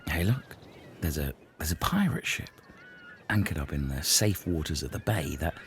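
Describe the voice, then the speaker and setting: Man acting mild castaway, deep voice, hesitant, apologetic, rambling, improvised comedy drama, slightly noisy recording. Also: animated, normal speed.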